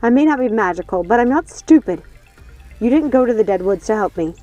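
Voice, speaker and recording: A woman's voice: fairly high pitch, lively intonation, brisk, warm tone, no echo, faint music behind, natural voice. A woman voicing a suspicious, angry woman in a dramatic audiobook, accusing and firm as she makes demands. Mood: accusing, bitter. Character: suspicious, angry woman, a witch's non-magical daughter.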